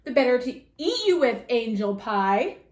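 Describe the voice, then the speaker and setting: A woman's voice: a little high, sing-song, a little slow, roomy sound. A woman voicing a sly, predatory male cowboy wolf who drawls pet names in a children's story. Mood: falsely sweet.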